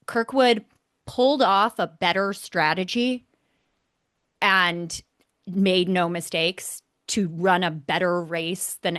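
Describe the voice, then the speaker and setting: A woman's voice: fairly low pitch, a bit bright, dry recording, clean studio sound. A woman co-hosting a casual motorsport recap show, talking in an emphatic, opinionated way. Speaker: podcast co-host.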